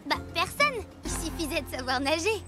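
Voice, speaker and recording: Bossy cartoon girl: extremely high, lively swoops, brash; loud music behind. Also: normal speed. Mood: self-assured. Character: bold, bossy, self-reliant young prehistoric girl. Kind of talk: French animated cartoon dub.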